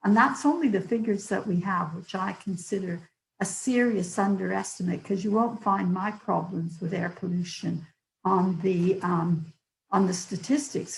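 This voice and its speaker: Hoarse, gravelly older woman lecturing. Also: mid-range voice, roomy sound, low-quality recording. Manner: earnest, storytelling, with fillers. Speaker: guest lecturer. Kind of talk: online public lecture.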